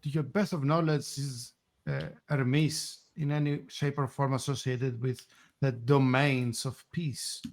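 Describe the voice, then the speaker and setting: A man playing in a tabletop game, speaking in character with a halting, playful delivery. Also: medium pitch, fairly even intonation.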